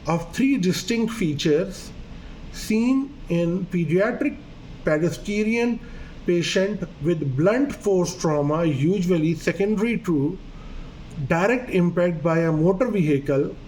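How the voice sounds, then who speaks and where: Earnest hesitant doctor giving a lesson. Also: male voice, high-pitched, darkish tone, slight background noise, low-quality recording. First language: Urdu. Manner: hesitant, earnest, repeats phrases with fillers. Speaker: doctor presenting a medical video lesson. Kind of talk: medical lecture.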